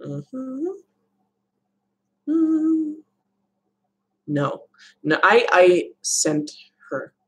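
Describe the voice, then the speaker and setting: A young woman with a low voice, hosting a casual live Q&A stream from her room at home, chatty and rambling. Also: big pitch swings, drawn-out words, pauses often, a bit bright, husky. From Arizona, USA. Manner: chatty, rambling, laughs often. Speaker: livestream host answering viewer questions.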